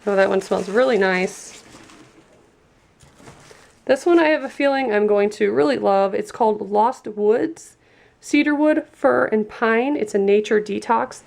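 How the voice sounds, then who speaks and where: A woman's voice: mid-range voice, a little slow, gravelly, a little mellow. A woman reviewing and unboxing a gift set in a chatty, enthusiastic way, sounding stuffy and congested from a cold. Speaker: product reviewer.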